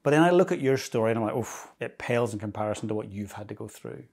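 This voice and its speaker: A man's voice: lowish voice, lively intonation, quick pace, darkish tone, breathy edge. A man from Northern Ireland hosting a podcast, introducing and questioning his guest earnestly and enthusiastically. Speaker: podcast host. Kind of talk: podcast interview.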